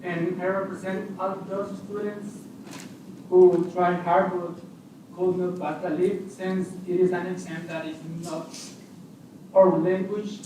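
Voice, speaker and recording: Young man from Honduras, high voice, flat delivery, earnest, halting English, echoey far-off hall. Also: slight background noise. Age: young adult. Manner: earnest, slightly halting non-native English. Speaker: student, public commenter. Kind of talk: prepared public comment.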